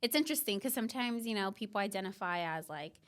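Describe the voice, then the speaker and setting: A woman's voice: medium pitch, expressive, fast talker, a bit bright. A young woman from Mexico, a podcast guest talking through her life in a reflective, casual way with plenty of fillers. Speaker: podcast guest, business founder.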